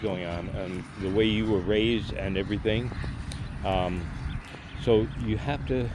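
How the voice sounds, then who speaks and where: A man's voice: lowish voice, unhurried. A man in his late fifties giving money and marriage advice in a casual, rambling walk-and-talk, with many fillers and restarts. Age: 50s. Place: outdoors on a walk near traffic. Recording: outdoor street noise with passing cars.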